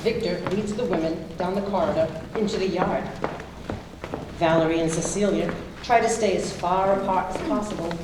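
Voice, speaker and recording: A woman with a fairly low voice narrates stage directions from a script. She is heard from a distance in a very echoey hall, with music around her. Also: steady tone, normal speed, background noise.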